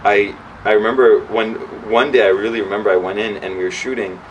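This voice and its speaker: Chatty young actor, distant and humming. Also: male voice, fairly low pitch, brisk. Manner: lively storyteller, acts out his own past lines; many restarts and "like" fillers. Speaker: actor, interview guest. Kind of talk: casual chat. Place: indoor sit-down interview. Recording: distant mic with clear background hum.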